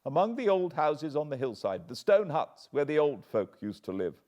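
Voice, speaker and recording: A man's voice: on the high side, very animated, moderate pace, darkish tone, voice pushed up. An English man voicing an anxious, earnest older butler who pleads and frets. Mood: confiding. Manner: agitated, pleading.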